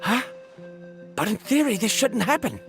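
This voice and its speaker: A woman playing an earnest, bookish young woman scholar in a fantasy video game, with measured, precise wording. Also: a little high, big pitch swings, a bit bright, faint music behind. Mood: puzzled. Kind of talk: animated video game voice acting.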